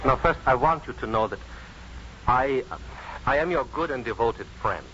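An actor playing an earnest, worried professor and loyal friend in a 1950s radio drama, speaking emphatically and insistently. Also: male voice, medium pitch, lively intonation, moderate pace, lightly acted. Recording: muffled, faintly noisy 1950s radio broadcast.

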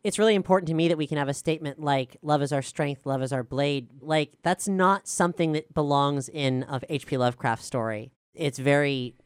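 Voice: mid-range voice, fairly quick, few pauses, clear, resonant tone, no echo, studio quality. A podcast host talking casually and earnestly in an articulate way during a Q&A discussion.

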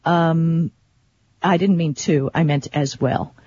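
A woman's voice: sits low, fairly even intonation, slow pace, mellow, dull audio, slight background noise. A woman co-hosting a radio show, talking about tech in a chatty, informal, casual way. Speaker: radio co-host.

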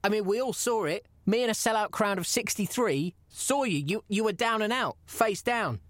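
A man's voice: high-pitched, lively intonation, normal speed, a bit bright, no room sound. An actor playing an exasperated, mock-serious podcast interviewer pressing his wrestler friend in an audio comedy, insistent and pleading. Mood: probing, mock-serious. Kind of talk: comedy audio drama dialogue.

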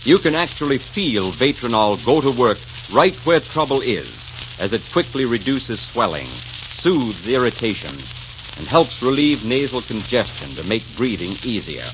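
A muffled, crackling old broadcast carries a man announcing a commercial in 1940s style. His delivery is polished and emphatic, with very lively rises and falls meant to persuade. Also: medium pitch, moderate pace. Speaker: radio announcer. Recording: noisy, muffled 1940s radio recording.

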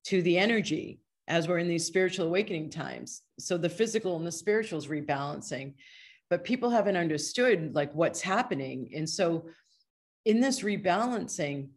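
A woman's voice: lowish voice, lively intonation, moderate pace. A woman speaking as a podcast guest, a healer and coach explaining ideas in an earnest, discursive, conversational way. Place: online video call.

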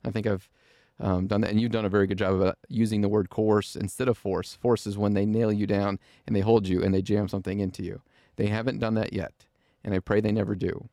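A man's voice: on the low side, little pitch movement, fairly quick, hardly any pauses, mellow, no room sound, clean studio sound. A man hosting an interview podcast, explaining points earnestly and with emphasis. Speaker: podcast host.

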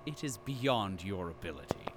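A man's voice: sits low, expressive, moderate pace, heavy background noise. A man playing a pompous captive archivist and company loyalist in an audio drama, with formal, grandiose diction. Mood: haughty defiance.

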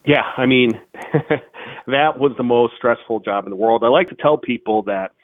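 A man's voice: medium pitch, a little slow, no echo, narrow, tinny audio. A man, a financial expert guest, explaining plainly in an interview. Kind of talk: interview answers.

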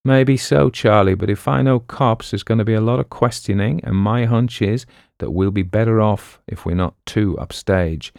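A man voicing a brash, fast-talking book pitchman and con artist in an audiobook story, full of bombastic patter. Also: vocal fry, darkish tone, no echo, studio quality. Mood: resigned, calculating.

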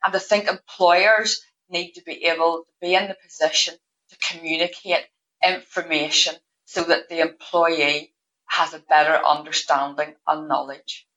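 A woman's voice: deep voice, steady tone, moderate pace, sharp tone, highs cut off. A woman guest in an interview, explaining her views in an earnest, thoughtful way. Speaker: guest payroll manager. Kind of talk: interview answers.

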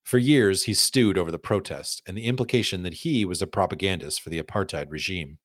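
Low-voiced man narrating a podcast documentary. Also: a little fast, no room sound, studio quality. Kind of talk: scripted narrative documentary narration with read-out quotes.

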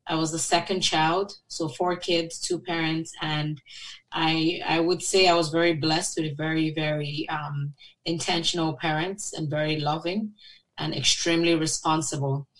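A woman's voice: low-pitched, poor audio. A woman in her thirties from Nigeria, a podcast guest who answers in an earnest, reflective way with fillers and restarts. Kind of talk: interview answers.

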